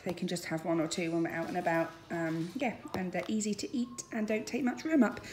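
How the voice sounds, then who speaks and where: A woman's voice: fairly low pitch, fairly even intonation, fairly quick, darkish tone, a little hiss or hum. A woman in her thirties with a British accent, chatting informally as she narrates a casual home vlog. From United Kingdom. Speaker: family vlogger. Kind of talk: casual grocery haul narration. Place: home dining room, indoors.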